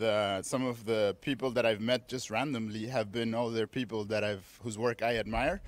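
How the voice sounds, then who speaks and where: Easygoing man from Mexico. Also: on the low side. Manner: easygoing, many fillers. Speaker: artist, guest.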